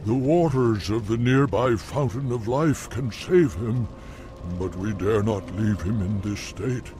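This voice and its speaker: A man voicing a slow, pleading villager. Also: on the low side, warm tone, slight background noise, close to an everyday voice. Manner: pleading, earnest. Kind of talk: video game cutscene acting. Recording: game audio with music underneath.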